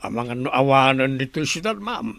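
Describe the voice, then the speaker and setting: An actor playing a dutiful male subordinate with a gravelly voice in a Filipino radio drama. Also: mid-range voice, lively intonation, brisk, fairly bright tone, dry recording. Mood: deferential. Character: dutiful male subordinate, deferential to his lady boss.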